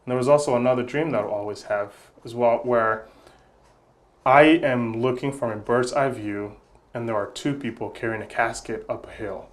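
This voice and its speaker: A man's voice: medium pitch, moderate pace. A young adult man from Congo, answering interview questions in an earnest, reflective way and often repeating words while he searches for the next thought. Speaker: guest, nonprofit founder.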